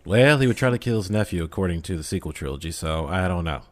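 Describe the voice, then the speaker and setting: Wry, lowish-voiced man, dry recording. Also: animated, fast, no echo. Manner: wry, mock-indignant, opinionated. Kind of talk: casual chat.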